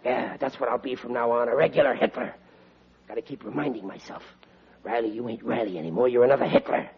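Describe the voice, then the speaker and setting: An actor playing a middle-aged, excitable and soft-hearted American working-class father in 1940s radio comedy, with a blustery, plaintive delivery. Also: medium pitch, fast, crowd noise, natural voice. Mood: self-pitying grumbling. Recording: muffled old radio recording.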